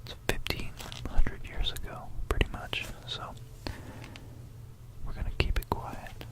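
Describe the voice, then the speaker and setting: An ASMR host narrating an educational piece in a hushed, breathy, unvoiced whisper, unhurried and conspiratorial, close to a stereo microphone. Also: rough recording. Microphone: close stereo binaural microphone.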